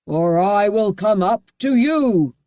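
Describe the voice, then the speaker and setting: A woman reading the part of a cruel, menacing husband, drawing out his threats slowly and heavily. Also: sits low, warm tone, no echo, clean studio sound.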